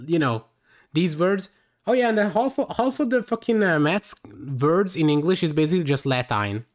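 A young man from Hungary with a Hungarian accent, streaming a game live and chatting in a rambling way with lots of fillers, tired late in the session. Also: on the high side. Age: young adult.

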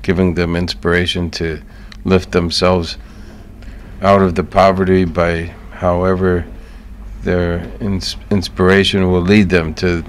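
A man's voice: sits low, little pitch movement, unhurried, a little hiss or hum. A man with an American accent hosting a conversational radio interview in an easygoing, encouraging way. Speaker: radio show host.

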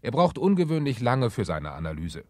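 A man's voice: mid-range voice, big pitch swings, fairly quick, a little mellow, no echo, clean studio sound. A man reading scripted narration as the narrator of a children's radio play.

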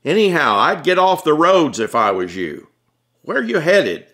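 A man's voice: on the high side, sing-song, a little slow, a bit bright, pitched above the performer's own voice, exaggerated voice. Man doing an exasperated small-town cop, voice raised. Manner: raised voice, folksy and blunt. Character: exasperated, authoritative small-town traffic policeman.

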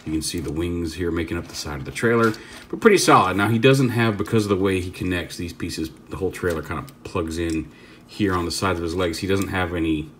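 Low-voiced man demoing a toy. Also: brisk, a bit bright, slight background noise. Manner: rambling, stops to correct himself while handling the toy. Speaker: toy reviewer. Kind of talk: casual hands-on product demo.

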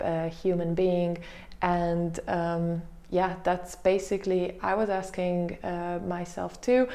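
A young woman vlogging casually to camera from her living room, chatty and full of pauses and fillers, on a lapel mic. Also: on the low side, mellow. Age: young adult. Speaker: vlogger host. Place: small living room indoors. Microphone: lapel mic clipped to her top.

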